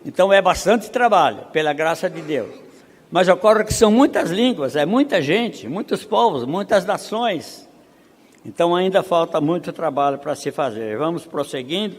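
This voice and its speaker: A man from Brazil speaking at a missionary conference, reading statistics slowly and building to emphatic rhetorical questions. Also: on the high side, animated. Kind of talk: conference preaching. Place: seminary auditorium. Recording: long hall reverb.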